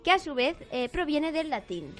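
A woman with a Spanish accent from Spain co-hosts a casual radio chat in a fairly high voice. She is playful and jokey, cracking quips and laughing a lot. Faint music runs in the background. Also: sing-song, normal speed. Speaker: podcast co-host.

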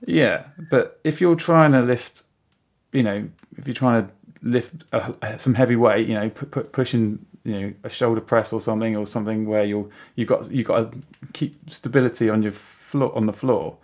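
A man's voice: mid-range voice, normal speed, a little mellow. A British man of about forty, a podcast guest chatting casually in a rambling way. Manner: rambling, frequent "you know". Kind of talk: casual chat.

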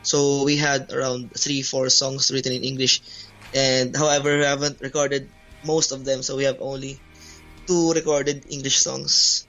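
A man's voice: mid-range voice, little pitch movement, normal speed, bright, forceful tone. A man from the Philippines with a Filipino accent, a native Tagalog speaker, answering interview questions hesitantly. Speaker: band bassist, interview guest. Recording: dull lo-fi call audio, music behind. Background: music.

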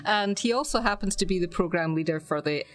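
A woman's voice: lowish voice, a little fast. A woman chatting casually as an interview guest, playful and talkative. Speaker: guest, academic.

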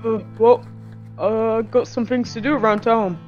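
A man playing a polite, folksy Wild West sheriff in an improvised game roleplay, speaking haltingly with many pauses. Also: very high-pitched, steady tone. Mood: friendly, casual. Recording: dull muffled audio with guitar music behind.

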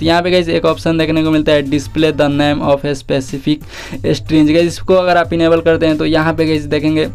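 A man's voice: fairly high pitch, a little mellow, clean tone. An Indian man giving a casual phone tutorial as a tech host, explaining in an instructional, repetitive way with English words mixed in.

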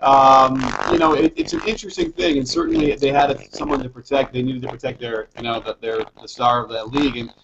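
American man, forties, gravelly voice, casual basketball host, muffled and noisy video-call sound. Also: mid-range voice, expressive, brisk, few pauses, a bit bright. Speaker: interview host, basketball coach. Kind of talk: casual sports chat. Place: living room, indoors. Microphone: video call, computer microphone.

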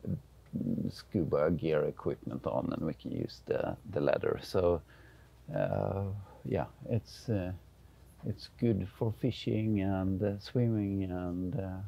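A Swedish man in his fifties talks through the cabin of his sailboat in accented English, halting often on "uh". His voice sits very low with a gravelly edge, and he speaks slowly. He is recorded on a lapel mic inside the small wooden cabin. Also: dull audio. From Sweden. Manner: hesitant, many "uh" fillers, friendly. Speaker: sailing vlogger giving a boat tour. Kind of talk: casual guided tour. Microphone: clip-on lapel mic.

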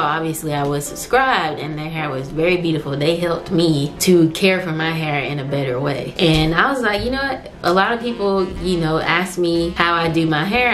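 A young woman talks straight to a camera set up in her living room, chatty and animated, slipping into the voices of people she quotes. Her voice sits on the low side, and faint music plays quietly behind her. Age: young adult. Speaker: hair vlogger. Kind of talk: casual chat to camera. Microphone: camera on tripod at medium distance.

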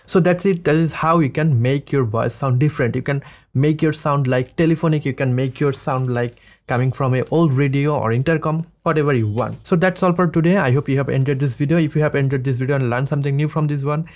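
Man presenting a step-by-step software tutorial. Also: mid-range voice, quick pace. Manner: step-by-step instructing. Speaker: tutorial host. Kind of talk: casual scripted software tutorial. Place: small indoor studio room.

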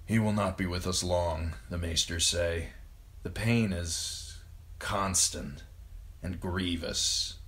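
A man's voice: low voice, takes it slowly, a bit bright. A man voicing an earnest, troubled lordly brother in an audiobook, with a grave and emphatic manner. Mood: somber. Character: grown lordly younger brother, earnest, troubled by his father's decline.

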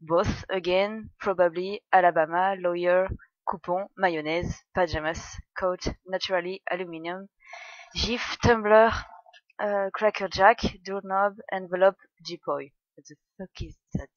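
A woman's voice: on the low side, steady tone, measured pace, no room sound. A young woman from France with a French accent, chatting awkwardly and a bit shyly in a casual voice meme, reading words aloud. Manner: awkward, shy, laughing at herself. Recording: dry, dull lo-fi home mic.

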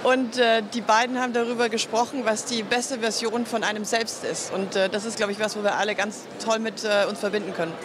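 A woman's voice: fairly high pitch, steady tone, brisk, few pauses, background noise. Upbeat woman answering interview questions. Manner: upbeat and enthusiastic, with frequent hesitation fillers. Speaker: marketing manager interviewee. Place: busy indoor convention venue. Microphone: reporter's handheld microphone.

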